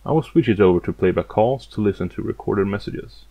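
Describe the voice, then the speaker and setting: A man with a fairly low voice walks through a demonstration step by step. He keeps it plain and matter-of-fact, explaining like a hobbyist. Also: brisk, gravelly, warm tone. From outside the United States. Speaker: hobbyist demonstrating a vintage gadget.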